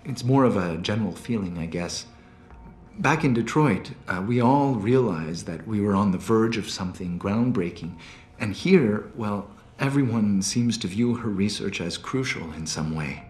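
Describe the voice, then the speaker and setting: A man's voice: on the low side, animated, moderate pace, a little mellow, close to an everyday voice. Man voicing an anxious, faltering captive scientist. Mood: hesitant, subdued. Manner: hesitant, faltering, stammering. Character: anxious, captive male scientist, timid and apologetic. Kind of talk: video game cutscene dialogue. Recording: roomy game cutscene with music. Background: background music.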